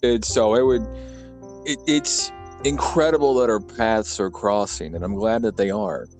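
A man's voice: lowish voice, a little slow, darkish tone. A man in his mid thirties with an American accent hosts an informal interview. He often stammers into his sentences and talks casually. Faint music plays behind him. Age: mid 30s. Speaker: podcast host, interviewer.

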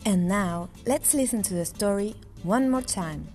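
A woman's voice: medium pitch, animated, takes it slowly, a little mellow. Female podcast announcer over music. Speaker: podcast host.

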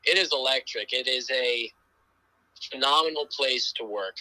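A young man in his twenties talks on a podcast with a very bright, forward voice. He tells his story loosely and rambles, with lots of "like" and "um" in between. The sound is close and dry, with no room around it. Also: mid-range voice, normal speed, no room sound, rough recording. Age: 20s. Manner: relaxed, rambling storytelling with many "like" and "um". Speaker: podcast guest, pro wrestler. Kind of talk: casual podcast interview.

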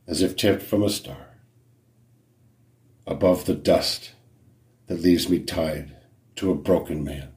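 A man with a low, deep voice recites verse in a solemn, measured way. He moves very slowly, leaving dramatic pauses between phrases, and the room around him rings with echo. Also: darkish tone. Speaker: poet.

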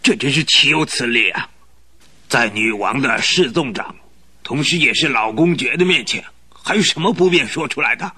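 An actor playing a pompous, irritable old duke who grunts and clears his throat in a radio drama. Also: medium pitch, animated, moderate pace, sharp tone, exaggerated voice. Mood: indignant. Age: older.

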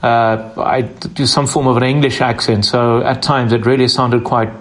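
A man's voice: medium pitch, flat delivery, moderate pace. Guy hosting an interview, medium voice, chatty leading questions. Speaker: interviewer, host.

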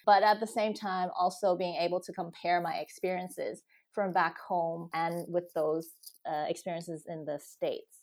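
A woman's voice: fairly low pitch, fairly even intonation, a little slow, darkish tone. A woman from Hong Kong, a guest researcher, answering thoughtfully with frequent fillers. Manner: thoughtful, many fillers like "you know" and "um". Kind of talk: podcast interview.

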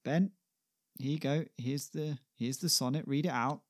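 A man's voice: medium pitch, steady tone, normal speed, close to an everyday voice. A man voicing a vain, impatient playwright, indignant and bossy in manner. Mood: flustered, bossy.

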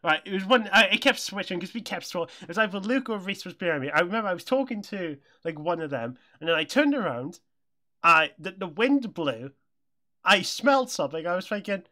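A man's voice: sits high, animated, fairly quick, pushed and bright. A young British man chatting casually and telling anecdotes as a podcast co-host, often stammering and repeating his words.

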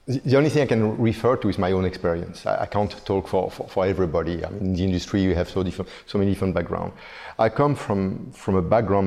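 Man in his 50s, very low voice, mellow tone, hesitant, repeats words, chef interview guest. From Belgium. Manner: hesitant, repeats words while searching for phrasing. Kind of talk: conversational interview.

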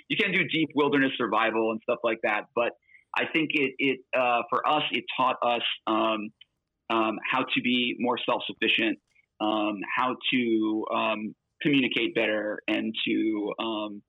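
A man's voice: lowish voice, steady tone, a little slow, dry recording. A man talking as a hiker guest on a podcast, earnest and full of fillers, heard over a remote call. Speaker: guest, long-distance hiker. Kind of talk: conversational interview. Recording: tinny phone line, dry.

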